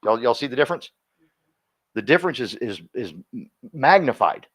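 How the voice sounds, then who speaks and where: A man coaching a live workshop audience, energetic and folksy, constantly quizzing the room and drawing answers out of people. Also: mid-range voice, moderate pace. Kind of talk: interactive seminar teaching.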